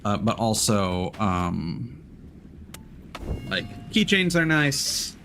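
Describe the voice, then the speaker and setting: A man's voice: on the low side, big pitch swings, extremely slow, pushed and bright, a little hiss or hum. A man live-streaming a game with casual commentary, rambling and thinking aloud as he goes.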